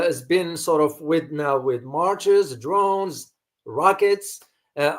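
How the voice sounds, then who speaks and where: Male geopolitical commentator, somewhat high-pitched, unscripted, stumbling and repeating himself, emphatic when posing questions. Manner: halting, repetitive, frequent stammered searching for words. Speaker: host, geopolitical analyst. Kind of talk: unscripted news commentary to online audience.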